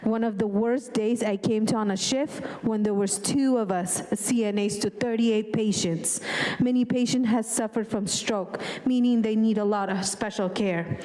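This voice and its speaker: A woman's voice: on the high side, steady tone, normal speed, few pauses, dark tone, poor audio. A middle-aged woman from Lebanon, giving an earnest public comment with small grammar slips. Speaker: public commenter, nursing assistant.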